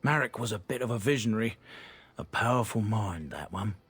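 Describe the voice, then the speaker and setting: A man's voice: fairly low pitch, animated, a little fast, a bit bright, breathy edge, dry recording, exaggerated voice. A man voicing a proud, blunt merchant from a disgraced noble family in a video game. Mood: earnest. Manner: blunt, earnest, plain-spoken. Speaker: game character.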